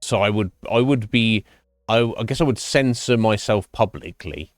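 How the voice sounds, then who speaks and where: A man streaming live and chatting with viewers, thinking his answers through in a halting, thoughtful way. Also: fairly low pitch, no echo, clean studio sound, soft background music. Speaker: Twitch streamer.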